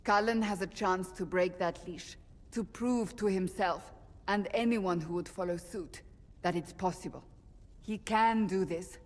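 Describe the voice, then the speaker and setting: A woman's voice: fairly low pitch, unhurried, natural voice. An actress playing a blunt, principled female warrior of a holy order in a game, firm and formal in manner. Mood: grave, persuasive. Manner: firm, formal, matter-of-fact. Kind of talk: dramatic game voice acting. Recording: long reverb.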